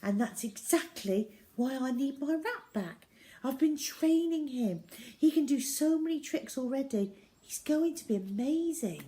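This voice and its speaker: A plucky young daughter in a children's story , played by a woman narrator. She speaks earnestly and insistently in a lifted pitch, determined to be heard. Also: expressive, measured pace, warm tone.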